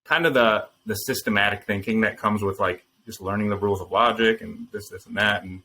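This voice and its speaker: A man talking earnestly and thoughtfully as a founder guest in an interview, pausing with frequent ums. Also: on the low side, steady tone, sharp tone, slight background noise. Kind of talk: casual interview answers.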